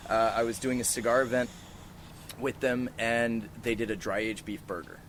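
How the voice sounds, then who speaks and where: A man's voice: medium pitch, fairly even intonation, normal speed, fairly bright tone. A man hosting a podcast in casual, informal chat, telling stories with some swearing, recorded outdoors on a porch. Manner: informal, profane, anecdotal. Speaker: podcast host. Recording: outdoor ambient noise on a porch.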